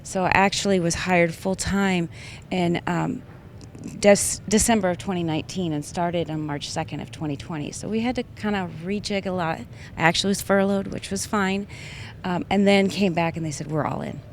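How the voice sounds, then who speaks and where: A woman's voice: on the low side, steady tone, normal speed, slight background noise. A woman guest in an interview, telling her story in an earnest way with a light laugh now and then. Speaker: guest, wellbeing leader. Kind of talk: interview answers. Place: trade show venue.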